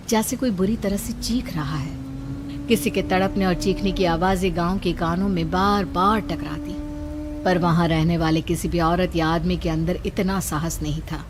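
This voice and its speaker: A woman's voice: fairly low pitch, background noise. A woman storyteller reading a scripted horror tale in a dramatic narrating style. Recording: background music under narration.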